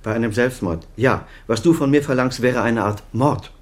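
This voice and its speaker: A man playing a middle-aged or older senior doctor and father in a radio drama. His voice is husky and mid-pitched. He speaks in clipped, defensive phrases, weighed down by guilt. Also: moderate pace, natural voice. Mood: defensive, curt. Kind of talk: German radio crime drama acting.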